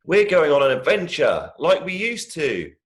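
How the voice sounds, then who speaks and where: Man playing an excitable little boy, pitched high. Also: unhurried. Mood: excited, urgent. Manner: eager, breathless exclaiming. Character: excitable little brother, a young adventurous boy. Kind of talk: storybook character voice.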